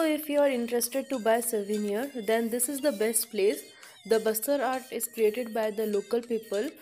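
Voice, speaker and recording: A woman's voice: fairly high pitch, a little mellow. A woman from Chhattisgarh with an Indian accent, narrating a travel vlog. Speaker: travel vlogger.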